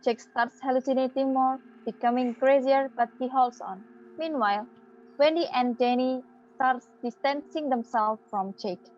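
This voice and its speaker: Young woman narrator for scripted horror drama: high voice, dull recording with faint noise. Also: fairly even intonation, normal speed, a little mellow. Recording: muffled, noisy home-style audio.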